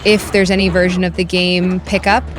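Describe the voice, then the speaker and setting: Female game reviewer, lower register, scripted voice-over delivery over clearly audible music. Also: flat delivery, normal speed.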